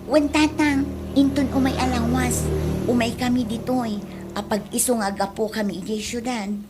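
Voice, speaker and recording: Woman acting high-voiced Ilocano grown child. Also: steady tone, normal speed, over loud music, close to an everyday voice. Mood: respectful. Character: grown child courting a sweetheart from the city. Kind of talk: Ilocano radio comedy drama acting.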